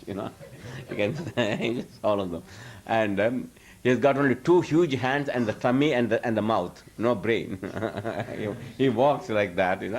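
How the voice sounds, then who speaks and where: Male spiritual teacher, lowish voice, loose rambling Q&A delivery with frequent chuckles. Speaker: spiritual teacher answering audience questions. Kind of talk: informal lecture Q&A. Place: live talk before an audience.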